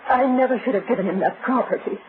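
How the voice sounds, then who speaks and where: A woman's voice: on the high side, fast, close to an everyday voice. An actress playing an anxious, lonely newlywed wife in her thirties, earnest and plaintive in old-time radio drama style. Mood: regretful. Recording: muffled, noisy vintage radio recording.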